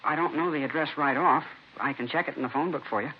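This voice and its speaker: A man's voice: fairly high pitch, fast, natural voice. An actor playing a cooperative, slangy seventeen-year-old boy being questioned, in casual 1950s radio drama style. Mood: casual, candid. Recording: muffled vintage radio recording, faint noise.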